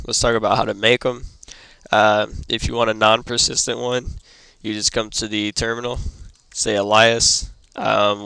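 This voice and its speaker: A young man who is sick and losing his voice, hosting a casual computer tutorial and stopping often with ums and throat clearing. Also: lowish voice, sharp tone, no room sound. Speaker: tutorial host. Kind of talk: casual screen-recorded tutorial.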